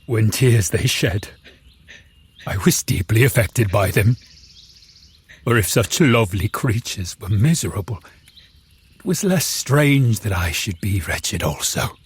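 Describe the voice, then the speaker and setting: An actor playing a lonely, eloquent man-made creature, telling his tale in a solemn, brooding audio drama voice with a gravelly, husky edge. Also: on the low side, lively intonation, a little slow, pauses often, full character voice. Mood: sorrowful. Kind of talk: dramatic first-person audio drama narration.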